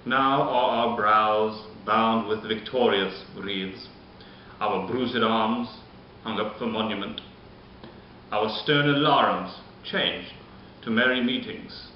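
A man playing a bitter, scheming, deformed nobleman, declaiming a theatrical Shakespearean monologue deliberately, with dramatic pauses. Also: lowish voice, animated. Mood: grand, sardonic. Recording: echoey room, muffled, faint hiss.

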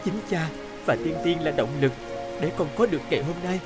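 An actor playing a humble, devoted young scholar in an animated drama, earnest and deferential, full of feeling. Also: male voice, on the high side, brisk, loud music behind the voice, close to an everyday voice. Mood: tearful, heartfelt. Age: young adult.

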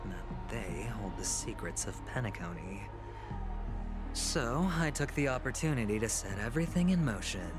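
An actor playing a suave, smug, reckless young gambler in a video game, teasing and theatrical. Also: male voice, mid-range voice, lightly acted. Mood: smug, triumphant.

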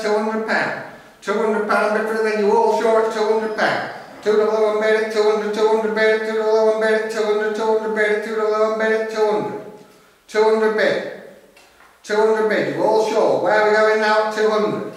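A middle-aged man calling a live property auction in emphatic, repetitive patter, recorded at a distance in an echoey room. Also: sits high. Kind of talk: live property auction calling with rapid repeated bid chant. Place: indoor auction room at a lectern. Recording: very echoey room, far from microphone.

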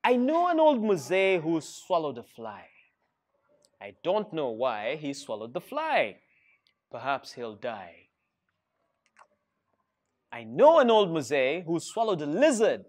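A man's voice: a little high, wildly swooping pitch, slow pace, long pauses, a little mellow. A middle-aged man with a Ugandan accent reading a rhyming picture book aloud to children in a playful, encouraging way. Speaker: storyteller reading to schoolchildren. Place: indoor school reading room with seated children.

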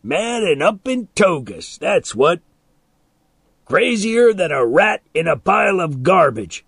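A man voicing a big, angry bully in a story, shouting, taunting and threatening in a raised voice. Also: medium pitch, slow, no echo, clean studio sound, natural voice.

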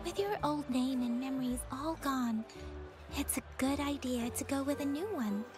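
A woman playing a gentle young companion in a video game, speaking in a measured, explanatory way. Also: high voice, close to an everyday voice. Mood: calm, explanatory. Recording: music behind.

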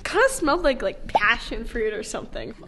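A woman's voice: fairly high pitch, sing-song, heavy background noise. A young woman vlogging a blindfold challenge in a living room, giggly and dramatic. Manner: giggly, dramatic, quick reactions. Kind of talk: excited casual chat. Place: bright living room indoors.

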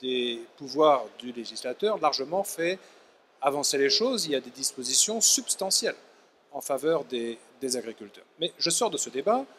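Bright-voiced middle-aged professor. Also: male voice, mid-range voice, big pitch swings, normal speed, a little hiss or hum. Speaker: university professor, panel guest. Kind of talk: trade fair panel interview. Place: trade fair stand. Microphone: handheld microphone.

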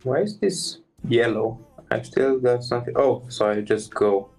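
A man giving low-key, brief, casual commentary as he streams a game playthrough. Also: steady tone, a little slow, darkish tone, soft background music.

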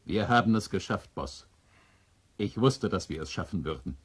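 Low, husky robot servant. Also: male voice, animated, moderate pace. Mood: eager, reassuring. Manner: deferential, insistent. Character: devoted, eager male robot servant, unwittingly ominous. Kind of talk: 1970 German radio play acting.

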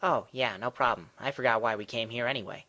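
A carefree boy hero from a cartoon parody, acted out by a man. His voice is bright and forward, and he talks in a flippant, boastful way, as if nothing could bother him. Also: mid-range voice, fast talker, highs cut off, close to an everyday voice. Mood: cocky. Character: cocky, carefree twelve-year-old boy hero. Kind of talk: comedic cartoon parody dub.

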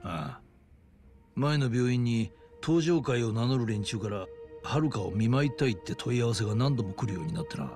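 A male actor playing a seasoned older detective in a video game, gruff, informal and pragmatic, with a gravelly voice. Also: medium pitch, animated, moderate pace, over music, natural voice. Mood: serious. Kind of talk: dramatic video game acting.

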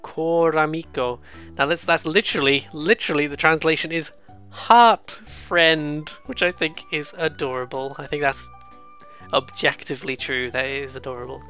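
Bright-voiced, rambling let's player. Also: medium pitch, fairly even intonation, moderate pace, faint music behind. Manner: rambling, jokey, self-deprecating. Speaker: let's play host. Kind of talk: casual game commentary with read-aloud dialogue.

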